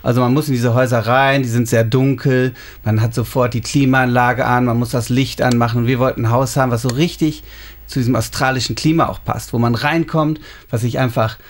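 A man in his thirties from Germany, calmly explaining details in a casual interview. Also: mid-range voice. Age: 30s. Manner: explanatory, unhurried, describing details. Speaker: interviewee, homeowner.

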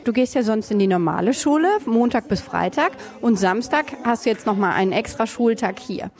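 A woman reporter with a slightly high voice does an interview on location. She asks the parents and children short questions in a friendly, curious way. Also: lively intonation, brisk, a little mellow.

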